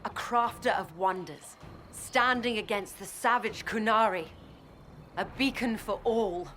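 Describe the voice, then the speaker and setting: A proud woman mage from a fantasy game voiced by an actress, imperious and formal, with an intense, idealistic fervour. Her voice sits at a middling pitch, over faint background noise. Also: a little slow, a bit bright, lightly acted. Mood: fervent. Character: proud, idealistic Tevinter mage woman, betrayed villain turning on her master. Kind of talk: dramatic fantasy game acting.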